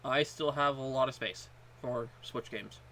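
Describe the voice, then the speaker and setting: A man co-hosting a podcast, chatting casually and haltingly, often repeating words as he goes. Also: medium pitch, fairly even intonation, sharp tone. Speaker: podcast co-host. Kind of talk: casual chat about gaming.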